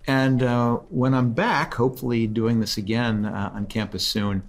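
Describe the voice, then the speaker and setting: A man's voice: mid-range voice, fairly even intonation, moderate pace. A man in his sixties, a host, giving direct, encouraging business advice from a studio set. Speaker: host, mentor. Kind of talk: advice in casual chat. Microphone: studio mic on desk.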